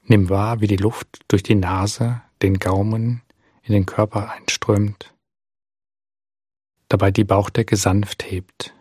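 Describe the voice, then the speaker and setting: Low, husky male meditation guide. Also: no echo, clean studio sound. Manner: calm, gentle, unhurried instruction with long pauses. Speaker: meditation instructor. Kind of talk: guided body scan meditation.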